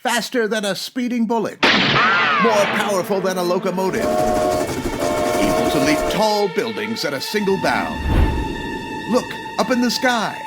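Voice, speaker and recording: Male actor as breathless 1940s serial announcer, grand and dramatic, lively swings in pitch, music underneath. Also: a little slow, pauses often. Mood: excited, proclaiming. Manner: dramatic, declamatory. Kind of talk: 1940s radio announcer narration.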